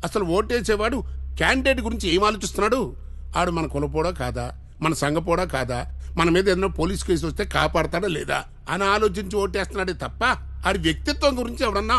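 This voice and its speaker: An actor playing a cynical, exasperated politician in a film, ranting colloquially with rhetorical questions. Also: male voice, high voice, a bit bright. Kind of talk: film dialogue monologue.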